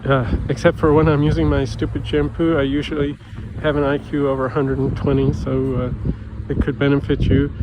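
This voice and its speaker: A man's voice: medium pitch, flat delivery, fairly quick, noisy background. A man vlogging in a rambling, casual way with many fillers and restarts, sounding stuffy and sniffling. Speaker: vlogger, educator. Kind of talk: casual monologue to camera.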